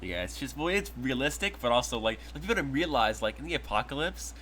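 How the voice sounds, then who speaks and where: A young man co-hosting a casual podcast chat, quick and joking with dark humor and swearing. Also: mid-range voice, sing-song, a little hiss or hum. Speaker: podcast co-host.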